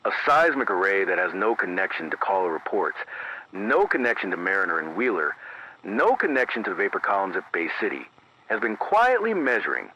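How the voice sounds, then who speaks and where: Deep-voiced, deliberate radio emergency announcer played by a man. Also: pushed and bright. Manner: measured, deliberate, formal, repeats key phrases. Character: careful, grave male local radio emergency announcer. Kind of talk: scripted analog horror news bulletin. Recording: dull AM radio sound, faint noise.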